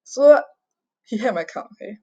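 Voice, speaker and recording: A young adult woman from Singapore who grew up overseas, giving an unscripted personal confession in a halting, repetitive, sweary way, sounding agitated and distressed. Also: a little high, lively intonation, mellow. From Singapore, raised mostly overseas. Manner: halting, repetitive, sweary, often tags phrases with "okay?". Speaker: vlogger giving a personal account. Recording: dull lo-fi home recording.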